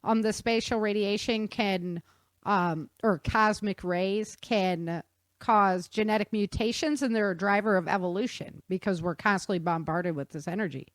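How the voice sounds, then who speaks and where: A woman hosts a podcast with casual, explanatory talk. She sounds like an informal nerdy enthusiast, with a mid-range pitch and a dry, close microphone sound. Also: moderate pace, dry recording. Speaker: podcast host.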